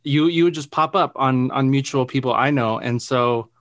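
A man from Arizona hosting a podcast, asking questions in a relaxed, friendly way with frequent restarts and ums. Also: medium pitch, dry recording, clean studio sound. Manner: relaxed, friendly, halting with repeated words and ums. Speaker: podcast host. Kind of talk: casual interview conversation.

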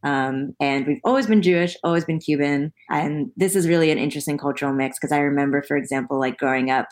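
Low-voiced woman explaining thoughtfully. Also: fast, darkish tone. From United States. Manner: thoughtful, explanatory, frequent ums. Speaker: guest. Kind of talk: conversational interview.

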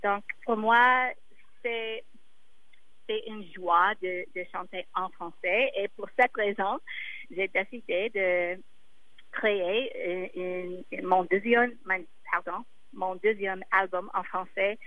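A woman singer whose first language is English, speaking French haltingly and often pausing to search for words. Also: medium pitch, lo-fi sound. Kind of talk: interview in a second language.

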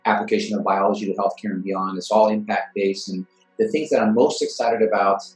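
Man, forties, from Iran, thoughtful, measured, lots of fillers, lower voice. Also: steady tone, faint music behind, rough recording. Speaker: guest, venture investor. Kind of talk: interview answers.

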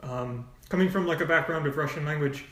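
A man's voice: a little high, brisk, fairly bright tone, recorded from a distance. A man speaking frankly as a nonprofit volunteer coordinator in a casual podcast interview, explaining in long runs with asides. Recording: echoey room, off-mic.

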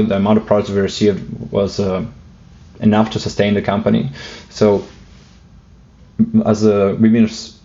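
Russian-accented male guest, low monotone, halting, muffled. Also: vocal fry. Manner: hesitant, many fillers and restarts, light joking. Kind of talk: casual interview talk.

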